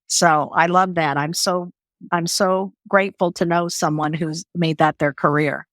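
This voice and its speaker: A woman's voice: fairly low pitch, steady tone, a little slow, fairly bright tone, dry recording. A woman hosting a conversational interview, speaking as an empathetic, encouraging listener who shares personal stories. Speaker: podcast host.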